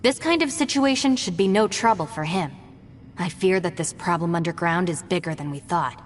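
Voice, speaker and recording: A woman's voice: fairly low pitch, normal speed, big-room sound, slight background noise, close to an everyday voice. A woman voicing an earnest, cautious young woman adventurer in a video game who speaks formally and carefully.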